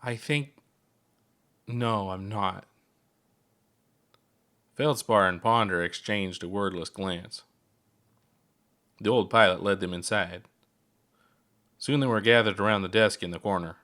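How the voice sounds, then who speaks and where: A man narrates a piece of fiction in a fairly low voice. He reads as the author of the story, in scripted audiobook fashion. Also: fairly even intonation, moderate pace, pauses often, a bit bright. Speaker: author reading own story.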